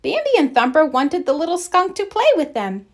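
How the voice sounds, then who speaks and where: A woman reading a children's story aloud in an expressive, deliberate storybook narration style. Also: sits high. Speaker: storybook reader.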